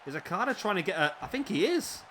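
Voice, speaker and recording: A man with a slightly raspy voice, commentating a wrestling video game play-by-play, excitable at big moves and chatty in asides. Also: sits high, fast talker, slight background noise.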